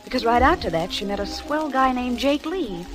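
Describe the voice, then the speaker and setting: An actress playing a concerned, gentle married woman, earnest and confiding, in a 1930s radio drama on an old broadcast recording. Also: fairly high pitch, lively intonation, moderate pace, over music, natural voice.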